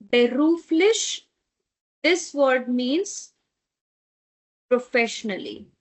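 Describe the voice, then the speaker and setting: A woman whose first language is Tamil, teaching a beginner German class online. She repeats words slowly and keeps checking in with her students. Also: high voice, animated.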